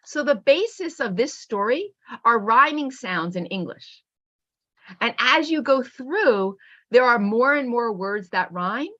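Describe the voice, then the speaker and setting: A middle-aged woman presenting a teacher training webinar in an expressive, encouraging and emphatic way. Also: on the high side, unhurried. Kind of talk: teaching webinar with animated picture-book reading. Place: at home on an online video call. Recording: dull, lo-fi online call audio.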